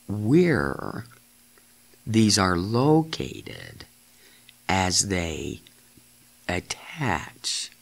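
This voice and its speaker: A man with a low, gravelly voice leads a guided imagery meditation. He speaks extremely slowly, in deliberate phrases broken by halts, and his pitch moves up and down widely. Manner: deliberate, halting phrasing with repeated instructions.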